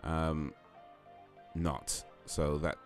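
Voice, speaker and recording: A man's voice: extremely low pitch, flat delivery, drawn-out words, faint music behind. A man hosting a livestream, chatting casually with viewers and reading quiz statements aloud, rambling and hesitant with lots of fillers and restarts. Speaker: livestream host.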